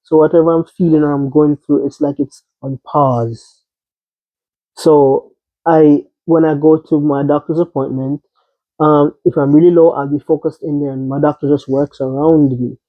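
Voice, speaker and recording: A man in a casual personal discussion, speaking in a gentle, encouraging way through tinny, band-limited sound. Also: on the high side, steady tone, moderate pace, dry recording.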